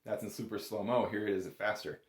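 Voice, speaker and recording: A man's voice: fairly low pitch, flat delivery, brisk, roomy sound. A man giving a casual, step-by-step music lesson as an instructor, in an informal, reassuring and encouraging manner. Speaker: bagpipe instructor.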